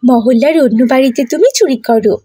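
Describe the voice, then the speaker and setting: A mischievous young cartoon girl voiced by a woman, high and husky, always wheedling and plotting. She cajoles and bosses people around like a spoiled village schemer, in a dry, close recording. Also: a little fast, mellow, dry recording. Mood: bossy, scheming.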